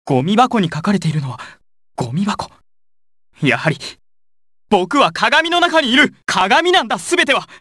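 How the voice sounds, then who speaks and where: An actor playing an intense, volatile young male gangster with a husky voice in dramatic video game dialogue. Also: high voice, animated, a little slow, long pauses, no room sound, studio quality, full character voice. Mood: tense, realizing. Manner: dramatic, swinging from controlled analysis to urgent shouting. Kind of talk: dramatic Japanese game dialogue and battle clips.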